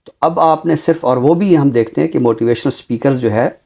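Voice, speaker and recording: A Pakistani man speaking formally and deliberately as a literary commentator, with emphatic, repeated phrasing. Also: medium pitch, creaky, dark tone. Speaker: literary commentator on a talk show. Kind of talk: measured, formal literary discussion and poetry recital.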